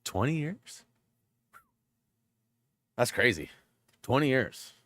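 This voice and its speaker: A man's voice: mid-range voice, very animated, slow pace, a bit bright, no room sound. A man hosting a gaming livestream in a rambling, casual way, full of fillers, and a bit stuffed up. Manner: rambling, filler-heavy, lots of "like". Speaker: livestream host.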